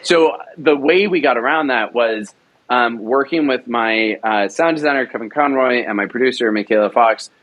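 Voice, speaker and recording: A man's voice: medium pitch, normal speed, a bit bright. A young man, a writer and director, talking about his audio drama production in an enthusiastic, rambling way with many fillers. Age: young adult. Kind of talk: casual interview talk.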